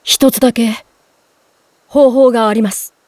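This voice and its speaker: A woman's voice: a little high, steady tone, unhurried, a little mellow, dry recording, lightly acted. A woman playing a modest, courteous young male swordsman in a Japanese game drama CD, speaking sincerely. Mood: resolute.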